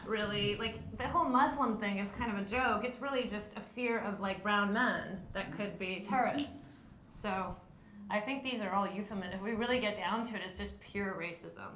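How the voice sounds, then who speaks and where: A woman's voice: medium pitch, bright, forceful tone, slight background noise. A woman speaking bluntly and conversationally on a writers' panel. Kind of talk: panel discussion. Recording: echoey room.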